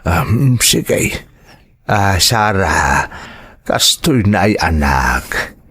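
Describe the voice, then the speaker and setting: A man's voice: sits low, sing-song, drawn-out words, a bit bright, slightly rough, no room sound, heavily acted. A man playing a loving, hesitant older father with an Ilocano accent in a family audio drama. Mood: reluctant, grave. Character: older father, loving but hesitant bearer of bad news.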